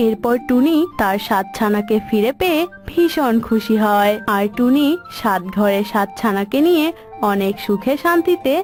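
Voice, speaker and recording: A woman's voice: on the high side, animated, moderate pace, faint music behind. A woman reading scripted narration for a children's cartoon story.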